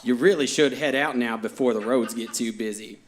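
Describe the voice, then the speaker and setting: A man's voice: mid-range voice, fairly quick, natural voice. An actor playing a friendly, oblivious neighbor who chats casually at his front door. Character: half-dressed male neighbor, chatty and oblivious.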